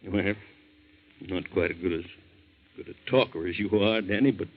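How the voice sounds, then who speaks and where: An actor playing a kindly, humble boat captain with a hesitant, folksy delivery in a 1940s radio drama. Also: male voice, low voice, normal speed. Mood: modest, warm. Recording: dull 1940s radio recording.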